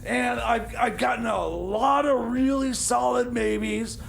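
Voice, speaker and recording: High pitched gravelly guy, stammering and shouting in a radio comedy bit. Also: fairly even intonation, takes it slowly, slight background noise. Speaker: radio show co-host. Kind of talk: improvised comedy radio banter.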